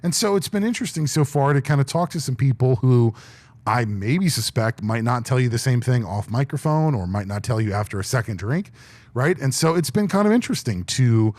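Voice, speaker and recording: Deep-voiced chatty man, lively delivery. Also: dry recording. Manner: talkative, animated. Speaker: podcast co-host. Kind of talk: casual chat.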